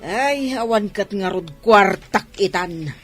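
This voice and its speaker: A woman playing a money-short older mother in a radio drama, expressive and complaining. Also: mid-range voice, slow. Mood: fretful. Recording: music behind the voice.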